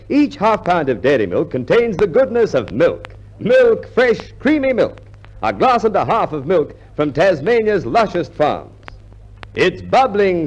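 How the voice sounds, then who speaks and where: A man's voice: high-pitched, lo-fi sound. A man reading an emphatic 1950s radio commercial as an announcer.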